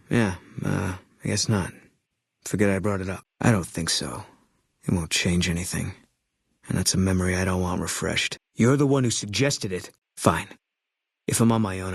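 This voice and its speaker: An actor voicing a grim, haunted ex-soldier sniper in a video game, talking in terse, clipped lines with a flat, brooding delivery. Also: male voice, low voice, slightly rough, dry recording, studio quality, full character voice. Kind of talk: video game character dialogue.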